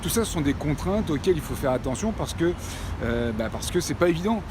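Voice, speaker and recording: Man, 50s, casual vlogger, slightly high voice, hesitant with fillers, traffic noise behind. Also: animated, brisk. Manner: hesitant, many "euh" fillers, repeats himself. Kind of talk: casual talking-head vlog.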